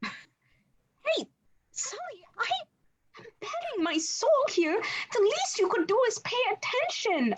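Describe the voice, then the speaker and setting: A woman's voice: very high-pitched, big pitch swings, slow, long pauses, exaggerated voice. A woman voicing an earnest, self-important little male monster sidekick in a read-through of a comedy script. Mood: earnest, wounded. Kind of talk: cast table read of a film script.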